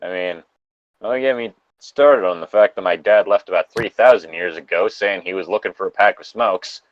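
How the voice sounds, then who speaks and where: A man chatting casually and rambling through halting asides as he co-hosts a read-aloud show over a voice call. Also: sits low, no echo, dull audio. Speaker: co-host of a fan fiction reading show. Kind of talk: casual banter and commentary while reading aloud. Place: dry, close setup on a remote voice call.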